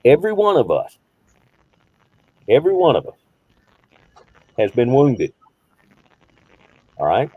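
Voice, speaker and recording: A man's voice: medium pitch, wildly swooping pitch, takes it slowly, long pauses, breathy edge, dry recording, phone-quality sound. A man in his fifties, a behavioral health counselor, teaching a small group conversationally, posing rhetorical questions and repeating phrases for emphasis. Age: 50s.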